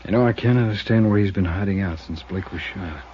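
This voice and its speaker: Guy voicing a worried city attorney, deep voice, hissy muffled old radio. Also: a little fast, natural voice. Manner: earnest and worried, repeating himself. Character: fretful male city attorney. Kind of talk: 1950s radio drama acting.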